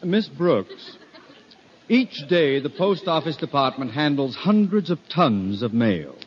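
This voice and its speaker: A man's voice: on the high side, very animated, slow pace. A man playing a pompous, blustering middle-aged school principal in orotund 1950s radio comedy style. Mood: sarcastic, exasperated. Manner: pompous, orotund, exasperated delivery. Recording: muffled, noisy 1950 radio recording.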